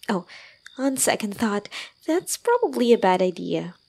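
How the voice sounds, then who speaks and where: A voice actress as a doting young woman soothing her lover in an intimate ASMR roleplay. Her voice is soft and breathy, often sinking toward a whisper. She speaks slowly, with gentle teasing and care. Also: fairly high pitch, sing-song, full character voice. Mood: tender teasing. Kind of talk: ASMR roleplay, intimate one-sided dialogue.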